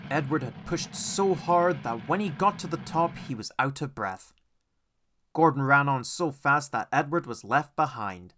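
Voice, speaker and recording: Male children's audiobook narrator: deliberate, unhurried, highly varied intonation. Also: soft background music. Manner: expressive, deliberate storytelling. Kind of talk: scripted children's story narration.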